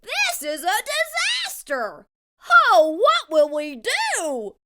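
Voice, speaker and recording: A woman's voice: extremely high pitch, wildly swooping pitch, slow pace, heavy background noise, pitched above the performer's own voice, exaggerated voice. A woman voicing small, childlike paint scribbles in a storybook, wailing in distress. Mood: distraught.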